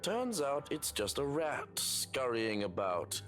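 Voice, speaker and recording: An actor playing a coldly polite, menacing butler in a horror game, formal and condescending. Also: male voice, mid-range voice, sing-song, takes it slowly, a bit bright, soft background music. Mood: disdainful. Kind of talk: visual novel game voice acting.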